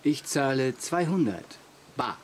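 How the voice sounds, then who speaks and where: An actor playing an affected, eager advertising photographer in a German radio play, effusive and pretentious. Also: male voice, mid-range voice, very animated, slow pace, slight background noise. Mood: businesslike. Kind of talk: German audio drama dialogue.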